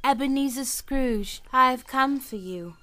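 A woman playing a calm, commanding spirit who speaks solemnly in a radio play. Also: high-pitched. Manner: solemn, deliberate. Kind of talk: old-time radio play acting.

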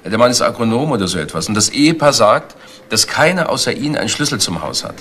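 A man's voice: mid-range voice, very animated, quick pace, fairly bright tone, breathy edge. A man acting a matter-of-fact police detective in a German crime radio drama, speaking tersely and in a businesslike way. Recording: noisy retro radio play recording.

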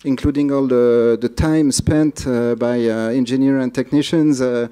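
Hesitant man with a mellow voice. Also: mid-range voice, steady tone, a little slow, clean tone. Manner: hesitant, many uh fillers and restarts. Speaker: conference speaker. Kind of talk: conference Q&A answers. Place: large dark conference hall.

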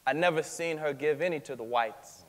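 In a reverberant theatre, an earnest teenage boy acted by a young man gives testimony in a dramatic reading. His voice is on the high side, and his answers are plain, halting and respectful. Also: lightly acted. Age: young adult. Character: earnest fifteen-year-old boy testifying as a witness. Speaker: student actor in a staged reading. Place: large theatre stage, indoors. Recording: echoey theatre hall, long reverb.

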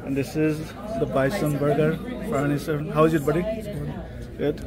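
A man's voice: a little high, little pitch movement, a little fast, a loud crowd. A man filming a casual travel food vlog with a handheld camera, walking through restaurants and praising the food in simple, friendly words. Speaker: travel vlogger. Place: restaurants and streets, indoors and outdoors. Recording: noisy handheld camera audio.

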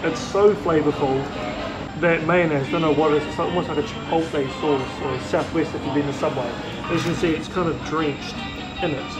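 Young Kiwi male food vlogger, relaxed chatty review, slightly high voice, loud music underneath. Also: fairly quick, rough recording. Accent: New Zealand. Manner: relaxed, chatty, talks while eating. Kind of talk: casual food review chat. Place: diner booth, indoors, then parked car.

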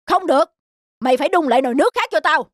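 A woman voicing a pompous, bossy fat master of the house in an animated folktale. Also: high-pitched, rapid-fire, no room sound, clean studio sound. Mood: bossy, irritated. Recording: music behind the voice.

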